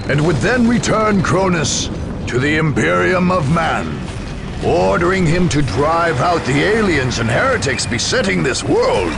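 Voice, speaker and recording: A man delivering scripted dramatic narration for a war game's story, in a grave, portentous, measured storytelling manner. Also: on the high side, vocal fry. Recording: dramatic music behind the voice. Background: music.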